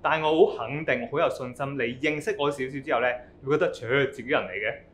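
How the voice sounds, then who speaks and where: A man's voice: mid-range voice, quick pace, fairly bright tone. A man in his thirties from Hong Kong, answering interview questions confidently and with jokes. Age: 30s. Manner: joking, confident.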